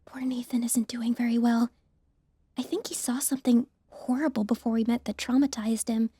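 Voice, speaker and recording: An eager young college woman, in an audiobook drama. Her voice sits high, with a bubbly, chatty, earnest manner and a close, dry sound. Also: steady tone, normal speed, dark tone, slightly rough, dry recording. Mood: hushed concern. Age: young adult.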